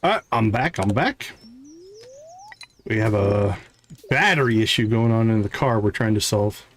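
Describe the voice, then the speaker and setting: A man's voice: on the low side, lively intonation, moderate pace. Casual man streaming a game. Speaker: live streamer playing a farming game. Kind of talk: casual live game commentary, chatting with viewers.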